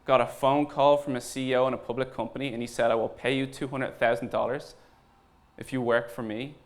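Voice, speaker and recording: An Irish man speaking as a startup founder at a conference, informal and earnest, pausing deliberately for emphasis. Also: medium pitch, level tone. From Ireland. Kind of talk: conference talk.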